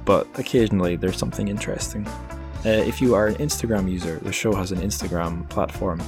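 A man's voice: deep voice, steady tone, fast talker, over music. A man hosting a podcast, chatting casually in a rambling, jokey, self-deprecating way. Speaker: podcast host. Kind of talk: casual solo podcast outro, chatty plugs for the show.

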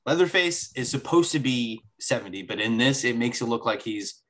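A man's voice: mid-range voice, animated, moderate pace, fairly bright tone. A man co-hosting a podcast in casual chat, rambling and restarting his sentences, emphatic about his opinions. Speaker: podcast co-host.

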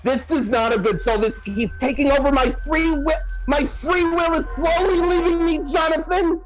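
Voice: high-pitched, normal speed, highs cut off, soft background music, lightly acted. A performer playing a flustered, dramatic psychic who rambles in repeated exclamations in a comic audio play. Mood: frantic, overwhelmed. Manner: breathless, rambling, repetitive exclamations. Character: flustered, dramatic celebrity psychic channeling spirits.

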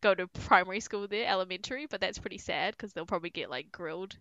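A woman's voice: mid-range voice, quick pace, sharp tone, dry recording, highs cut off. A young woman, hosting a casual chat with a friend and filling her talk with "like" and laughter. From New Zealand. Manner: chatty, full of "like" fillers, laughs often. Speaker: podcast host.

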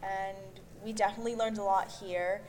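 A woman's voice: medium pitch, steady tone, a little fast, a little mellow, slight background noise, rough recording. A woman host reading a friendly podcast sign-off. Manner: friendly, read-aloud. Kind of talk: scripted podcast outro.

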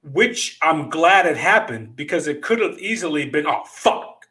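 A man's voice: a little high, lively intonation, a little slow, noisy background. A man in his fifties hosting a casual podcast chat, rambling and profane, with lots of fillers. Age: 50s. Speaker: podcast host.

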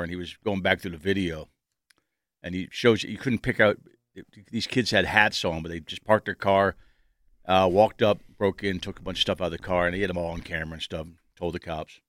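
A man's voice: low-pitched, a bit bright, dry recording, studio quality. A man hosting a casual panel talk show, chatting in a joking, rambling way.

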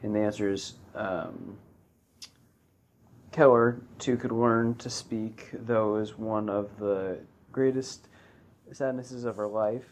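A man's voice: on the low side, fairly even intonation, slow pace, a little mellow. A man chatting as a guest on a casual podcast with friends, explaining points in a plain, matter-of-fact way. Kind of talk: casual chat among friends.

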